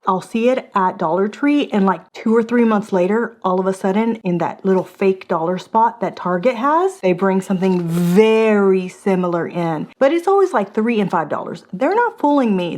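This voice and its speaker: Animated, chatty woman reviewing products. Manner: chatty and animated, with gasps and big jumps in pitch. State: a little hoarse. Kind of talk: casual product review vlog.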